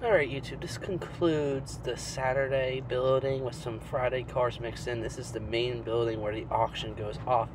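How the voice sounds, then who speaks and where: A man's voice: medium pitch, fairly even intonation, moderate pace, hardly any pauses. A man hosting a casual phone-filmed walkthrough of a car auction in a large indoor hall, making easygoing remarks on the cars. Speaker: channel host touring a car auction. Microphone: handheld phone camera. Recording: loud hall noise behind a phone mic.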